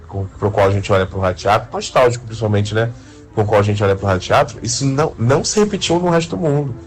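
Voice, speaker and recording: A man with a Brazilian accent explaining his field in an interview, haltingly, often adding little tag questions. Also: on the low side. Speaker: interviewed radio drama creator.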